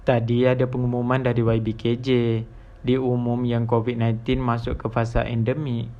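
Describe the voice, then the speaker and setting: A man's voice: mid-range voice, monotone, moderate pace, lo-fi sound, close to an everyday voice. A young man with a Malaysian accent playing a curious, chatty housemate in an amateur animation. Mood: explaining. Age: young adult. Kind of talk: scripted amateur animation dialogue.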